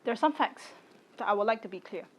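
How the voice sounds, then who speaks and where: A young woman in her late twenties speaks with a voice of ordinary pitch for a woman. She talks in short, measured phrases that stay firm and deliberate as she makes her personal statement to the camera. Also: expressive. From Singapore. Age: late 20s. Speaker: content creator.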